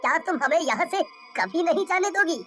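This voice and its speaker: Woman doing a very high, bright cartoon villager. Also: lively intonation, a little fast, soft background music. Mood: questioning. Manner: anxious, questioning. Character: worried, indignant villager questioning the boss. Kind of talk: cartoon story dialogue.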